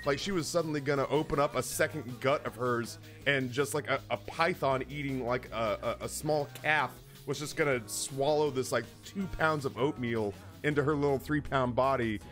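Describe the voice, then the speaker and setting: A man streaming games who tells comic stories casually, in an animated, exaggerating and profane way. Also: mid-range voice, normal speed, hardly any pauses. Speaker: game streamer. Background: music in the background.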